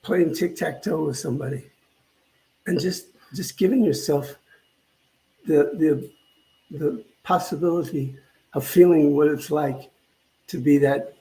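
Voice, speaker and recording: An older man speaks in a soft-edged, mellow voice that sits a little high for a man. He gives an informal spiritual talk, pausing and repeating words as he reflects. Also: low-quality recording. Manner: halting, reflective, repeats phrases. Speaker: minister.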